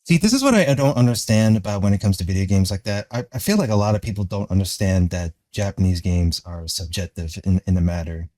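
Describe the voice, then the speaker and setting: A man with a fairly low voice talks through casual commentary, recorded dry and close with little room sound. He rambles hesitantly, working out his thoughts as he goes like an online video commentator. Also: lively intonation, fast, few pauses, mellow, no echo.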